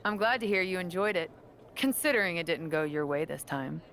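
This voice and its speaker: A woman playing a proud veteran wrestling champion and earnest mentor in a video game cutscene, speaking in a measured, assured way. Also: on the low side, animated, fairly bright tone, slight background noise. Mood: encouraging.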